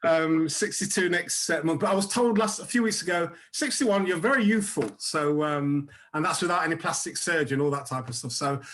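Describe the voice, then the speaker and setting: A man's voice: a little high, a little fast, hardly any pauses, a bit bright. A man in his sixties, a psychotherapist on a panel, speaking over a video call and often repeating words as he starts a thought. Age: 60s.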